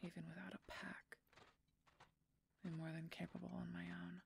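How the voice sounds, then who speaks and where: A woman's voice: fairly low pitch, little pitch movement, normal speed, vocal fry, a little hiss or hum. A woman playing a guarded, brooding female werewolf knight in a fantasy audio roleplay, terse and often murmuring in a whisper. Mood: guarded, wry.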